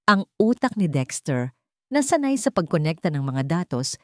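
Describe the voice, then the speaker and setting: Female narrator for dramatic romance fiction, low register, dry studio sound. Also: big pitch swings, moderate pace, no room sound.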